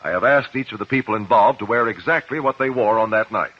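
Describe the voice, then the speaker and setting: A muffled old radio drama recording of a composed male investigator. He has a slightly rough voice and an American accent, and he questions formally and precisely, weighing each phrase. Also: on the low side, fast. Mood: calm, probing. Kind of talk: 1950s radio drama acting.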